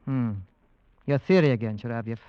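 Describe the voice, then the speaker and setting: An actor playing a skeptical, inquisitive investigator who probes with questions in 1940s radio drama style. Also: male voice, medium pitch, normal speed, smooth, no echo, close to an everyday voice. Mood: probing. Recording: dull vintage radio recording.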